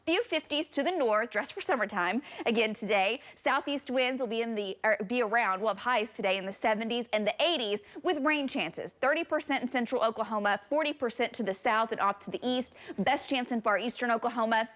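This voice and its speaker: A woman's voice: a little high, a little fast, hardly any pauses, a bit bright. A woman meteorologist giving a morning TV weather forecast in a studio, explaining the maps in a plain, conversational way.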